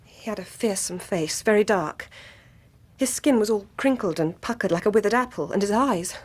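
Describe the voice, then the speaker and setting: An actress playing an earnest, troubled young gentlewoman in a radio drama, speaking gravely and formally. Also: medium pitch, animated, normal speed, close to an everyday voice. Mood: haunted.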